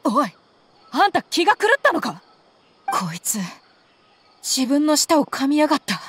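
A woman's voice: sits high, very animated, unhurried, fairly bright tone, a little hiss or hum, exaggerated voice. An actress playing a tough, confident young woman mercenary in a Japanese anime game, with blunt, intimidating phrasing. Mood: alarmed.

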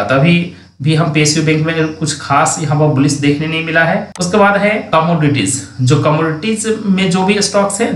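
A man's voice: fairly high pitch, fairly bright tone, roomy sound. A man explaining stock market charts in a casual voice-over, often correcting himself and stretching words as he thinks. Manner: explanatory, restarts and self-corrections, drawn-out words. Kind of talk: screen-share chart analysis. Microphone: voice-over with screen recording.

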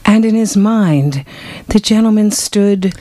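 A woman performing a dramatic literary reading with deliberate, measured storytelling. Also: medium pitch, dark tone. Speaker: stage performer reading narration. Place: small crowded bookshop, indoors. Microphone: microphone on a stand.

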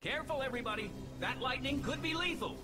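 A man playing an eager, alert young robot soldier in a cartoon-style video game, urgent and energetic. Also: very high-pitched, quick pace, distant mic. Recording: loud music under voice.

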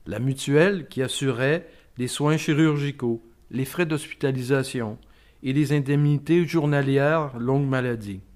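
A man reads documentary narration from a script. His delivery is steady and even, in a voice of ordinary pitch.

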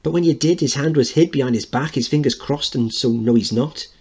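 A man's voice: mid-range voice, fairly quick, darkish tone, breathy edge, pitched above the performer's own voice. A man voicing a defiant, protective young working-class girl in a dramatic play reading.

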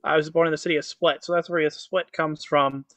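A fairly high-pitched man in his thirties, originally from Croatia, chats as a guest over a webcam video call. He sounds upbeat and talks fluently, scattering "um" and "you know" through his answers. The sound is close and dry, with no room echo. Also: steady tone, fairly quick, a bit bright. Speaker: guest, motivational speaker. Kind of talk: casual interview chat. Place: indoor home office with virtual backdrop.

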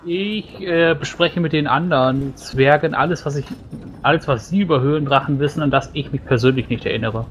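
A man's voice: on the high side, fairly quick, a little hiss or hum. A man playing a tabletop roleplaying game, casually describing what his character does.

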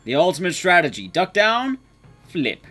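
High-pitched, animated young man streaming. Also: moderate pace, slight background noise. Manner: wry, informal, swearing. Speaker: streamer, speedrunner. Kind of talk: casual gameplay commentary to chat.

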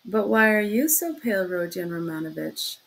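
A woman's voice: medium pitch, animated, moderate pace, gravelly, voice pushed down. A woman voicing a sly, fussing male police investigator in an audiobook, wheedling and chattering as he repeats himself. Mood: sly, taunting. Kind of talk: audiobook character acting.

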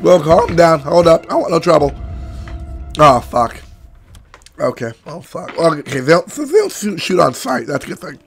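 A man with a sore throat from being sick, giving excitable, rambling let's-play commentary. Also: on the high side, moderate pace, gravelly, faint music behind. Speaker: gameplay commentator.

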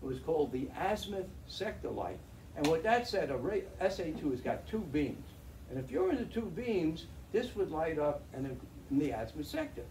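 An older man gives a chatty, wry talk over slides, his voice a bit high but soft and mellow. He is recorded from the back of a roomy meeting hall, distant, with a faint hiss behind. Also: sing-song, normal speed. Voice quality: chatty, wry storyteller with restarts. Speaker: guest speaker, veteran pilot. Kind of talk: slide lecture to an audience. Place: meeting room with projector screen. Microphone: far camera in the audience.

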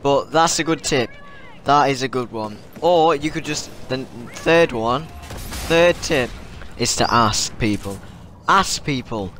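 A man's voice: mid-range voice, lively intonation, takes it slowly, fairly bright tone, slight background noise. A teenage boy with a cold, rambling through casual tips to his viewers over gameplay, often repeating himself. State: has a cold. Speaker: gaming YouTuber.

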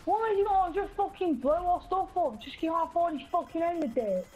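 Young male, teen gamer, bossy and exasperated, high animated voice. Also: moderate pace, lo-fi sound, slight background noise. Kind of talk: casual gaming chat.

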